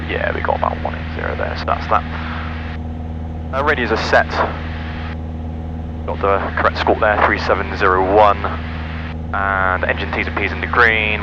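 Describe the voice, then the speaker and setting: A man flying as a private pilot, making radio calls and talking methodically through his cockpit checks over a headset. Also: lowish voice. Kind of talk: radio calls and self-talk cockpit commentary. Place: small light aircraft cockpit in flight. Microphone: aviation headset. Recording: dull cockpit intercom, loud engine noise.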